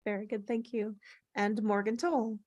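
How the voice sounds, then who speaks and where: A woman's voice: mid-range voice, fairly even intonation, normal speed, darkish tone. A woman hosting an online table read in casual, slightly scattered chat over a video call.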